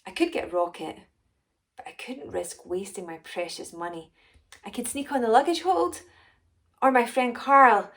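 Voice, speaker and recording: A woman voicing a short, rich, excitable female alien potion maker in a children's story, self-important and exclamatory. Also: a little high, moderate pace, voice pushed up. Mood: scheming. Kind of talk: first-person children's story narration.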